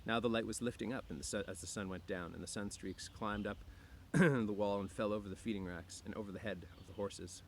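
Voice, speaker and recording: A man's voice: fairly low pitch, fairly quick, few pauses, slight background noise. A man reading a novel aloud as narrator, occasionally stumbling and rereading words. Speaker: audiobook narrator.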